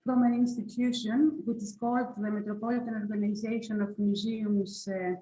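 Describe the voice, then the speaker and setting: A woman from Greece with a Greek accent, speaking English as a museum director at an online conference, measured and hesitant with fillers. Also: mid-range voice, level tone, dark tone, echoey room, low-quality recording. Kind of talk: prepared conference talk. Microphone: video call.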